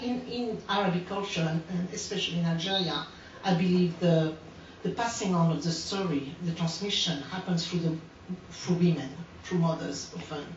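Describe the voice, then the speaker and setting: A woman's voice: fairly low pitch, a little mellow, slight background noise. A woman, giving an unscripted artist talk, hesitant, with many fillers and restarts. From France. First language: French. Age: 40s. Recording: echoey room, distant mic, dull sound.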